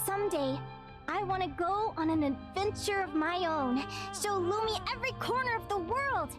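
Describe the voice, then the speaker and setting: Game heroine: eager, inquisitive fae girl, bright-eyed adventurer, high register, music under the lines. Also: animated, moderate pace. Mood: dreamy, eager. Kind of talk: video game character dialogue. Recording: music behind the voice.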